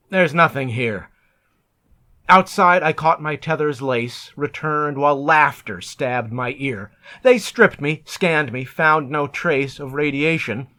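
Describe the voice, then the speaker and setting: A man reciting narrative poetry in a deliberate, measured, theatrical way, as a poet reading his own work. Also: on the high side, bright, forceful tone.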